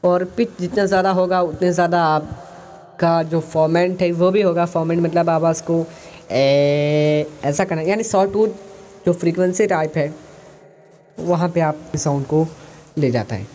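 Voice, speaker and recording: A man with an Indian accent explaining software as a tutorial host, mixing in English phrases. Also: on the high side, measured pace, slight background noise.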